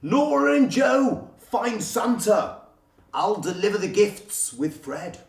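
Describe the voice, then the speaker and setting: Man doing a high, bossy rotund wizard. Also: very animated, takes it slowly, creaky, full character voice. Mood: bossy, hurried. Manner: shouting orders, hurrying others along. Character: bossy rotund bearded male wizard, eager and hurried. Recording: roomy, distant from the microphone.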